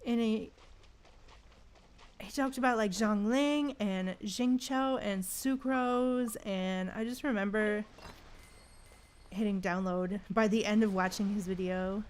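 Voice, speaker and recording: A woman livestreamer chatting casually in a slangy, animated way while gaming. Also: mid-range voice, takes it slowly. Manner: chatty, animated retellings with frequent slangy exclamations.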